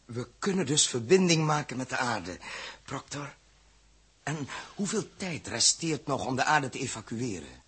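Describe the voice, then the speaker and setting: An actor in a 1960s science-fiction radio drama playing an earnest, respectful spaceship captain with a hesitant manner. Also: male voice, mid-range voice, sing-song, a little slow, a bit bright, husky, full character voice. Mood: worried.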